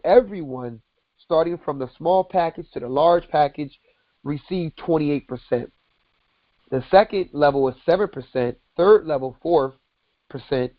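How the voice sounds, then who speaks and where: A man giving a sales presentation as a company president on a conference call, deliberately going through items step by step. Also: on the high side, no room sound. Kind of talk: sales presentation, explaining packages and earnings. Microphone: conference call line. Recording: dull lo-fi conference call audio.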